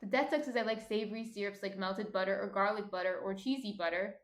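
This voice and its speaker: A woman's voice: medium pitch, fairly even intonation, quick pace, echoey room. A woman with a slight New Jersey accent hosts a baking livestream, reading viewer comments aloud and chatting as she cooks. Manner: chatty, thinks aloud while cooking.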